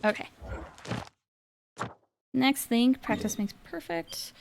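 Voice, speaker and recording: A woman's voice: fairly high pitch, slow, creaky, noisy background. A woman giving casual live gameplay commentary, rambling and thinking aloud as she plays. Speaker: game streamer. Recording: game music behind the voice. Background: video game music.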